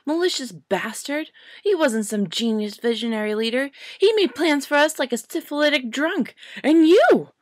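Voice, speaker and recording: Woman playing young witch, shrill and high, furious, stubborn, railing, dry close sound, audiobook drama. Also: expressive, moderate pace, dry recording. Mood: outraged. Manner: railing, accusatory, incredulous outbursts.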